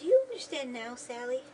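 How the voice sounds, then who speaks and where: A woman playing a gentle, practical mother of a 1950s family in an amateur school radio drama. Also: high-pitched. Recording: distant mic, noisy home recording.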